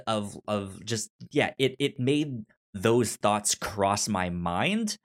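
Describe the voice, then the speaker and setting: Slow, halting male podcast co-host. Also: fairly low pitch, big pitch swings. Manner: halting, repeats words while thinking aloud. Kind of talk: casual film discussion.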